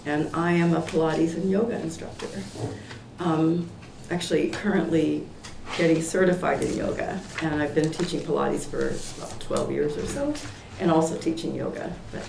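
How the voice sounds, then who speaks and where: A hoarse woman from Portland, Oregon, losing her voice, explaining her application at a public hearing, hesitant with fillers and throat-clearing. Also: sits low, a little mellow. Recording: echoey, distant, noisy public-access broadcast.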